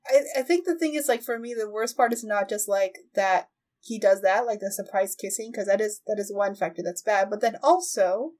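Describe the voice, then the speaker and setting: A woman hosting a podcast, chatting casually in a halting, giggly way as she recaps a show. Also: medium pitch, darkish tone. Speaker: podcast host. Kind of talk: casual chat, recapping an anime.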